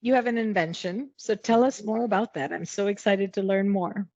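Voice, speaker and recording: A woman's voice: medium pitch, normal speed, a little mellow, no echo, dull audio. A woman hosting a podcast interview, enthusiastic and encouraging toward her guest. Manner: encouraging, enthusiastic, frequent backchannel. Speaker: podcast host. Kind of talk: interview host.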